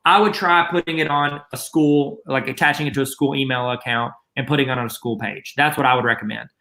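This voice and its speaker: A man with a Southern accent walks teachers through the basics in a chatty, joking way. His voice sits a bit high and sounds bright and forward. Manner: joking, chatty, self-deprecating asides. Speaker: guest presenter teaching teachers. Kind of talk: casual instructional webinar.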